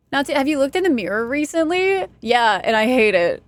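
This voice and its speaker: A young woman from Texas talks to her stream chat while she plays, her voice sitting a little high. She rambles casually, pokes fun at herself and laughs often. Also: no room sound. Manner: chatty, self-deprecating, laughs often.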